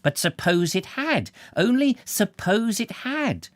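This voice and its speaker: A man voicing an elderly male doctor, indignant and easily shaken, his voice jumping high in outrage. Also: very animated, takes it slowly, no echo, clean studio sound, exaggerated voice. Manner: indignant, rising to high exclaims.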